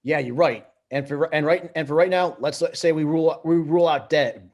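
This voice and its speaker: A man reading the part of a scrappy, bossy plumber brother from a movie script, with a husky voice. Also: fairly high pitch, a little fast, darkish tone. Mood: bewildered.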